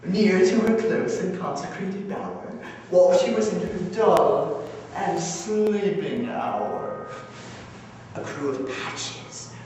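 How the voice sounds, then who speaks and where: A man playing a mischievous male fairy sprite, declaiming Shakespearean verse loudly with swooping, drawn-out words. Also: high voice, animated, pitched above the performer's own voice. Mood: gleeful, mischievous. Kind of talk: theatrical Shakespearean verse monologue. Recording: echoey theater recording from far off, noisy.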